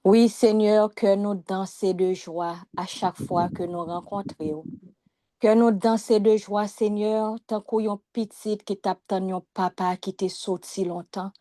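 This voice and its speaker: Mellow Haitian woman praying slowly. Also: mid-range voice, fairly even intonation, no echo. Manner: earnest, addressing God. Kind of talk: spontaneous devotional prayer.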